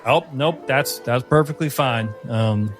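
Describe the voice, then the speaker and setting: A man's voice: medium pitch, measured pace, soft background music, close to an everyday voice. A man playing a deferential, scornful village alderman in a fantasy tabletop game, stammering and eager to please in a folksy way. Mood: deferential, nosy.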